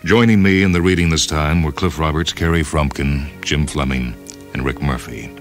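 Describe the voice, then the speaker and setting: An American man reading scripted dramatic narration for a radio story program in a measured, solemn way. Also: a bass voice. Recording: music under the voice. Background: music.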